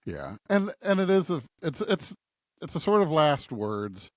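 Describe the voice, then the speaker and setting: A man's voice: fairly high pitch, very animated, a little slow, dry recording, studio quality. A man co-hosting a podcast, reading and discussing scripture. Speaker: podcast co-host.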